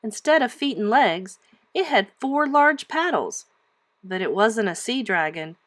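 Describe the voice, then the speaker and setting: A woman reading a children's storybook aloud like a teacher, with emphatic, expressive storytelling. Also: fairly high pitch, a little slow, no room sound, studio quality.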